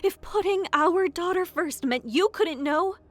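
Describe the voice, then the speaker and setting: A woman's voice: very high-pitched, unhurried. A woman playing a fiercely protective, defiant mother dragon with emotional conviction in an audio drama. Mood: firm, pleading.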